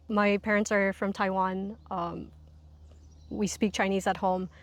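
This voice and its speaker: A woman's voice: mid-range voice, little pitch movement, slow pace. A woman speaking as an interview guest and instructor, explaining ideas conversationally and thinking aloud in long sentences.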